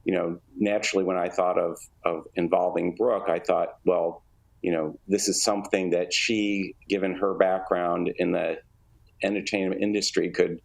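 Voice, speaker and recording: A man giving conversational interview answers, explaining things in a measured, halting way. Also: low voice, level tone, creaky, low-quality recording. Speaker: interview guest, theatre artistic director.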